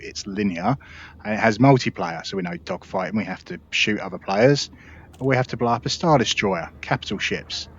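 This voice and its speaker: A man with a fairly low voice talks viewers through a game in a relaxed, upbeat tutorial manner. His delivery is chatty and explanatory. The recording sounds slightly dull and lo-fi. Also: husky. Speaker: gaming video host. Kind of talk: casual gameplay tutorial.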